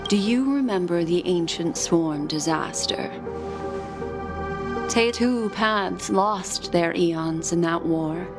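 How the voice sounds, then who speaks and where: Low, slow, elegant seer. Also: female voice, music in the background. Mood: poised, knowing. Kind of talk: game voice acting.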